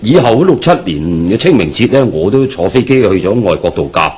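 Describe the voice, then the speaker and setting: A man's voice: on the low side, big pitch swings, brisk, darkish tone, husky. A man with a Hong Kong Cantonese accent playing an anxious, deferential businessman haunted by a ghost in a radio drama, hesitant and pleading in delivery. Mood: agitated.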